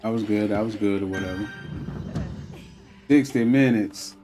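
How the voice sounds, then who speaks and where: A man's voice: lowish voice, moderate pace. A man giving casual, slangy and profane play-along commentary as a gaming streamer. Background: faint game music.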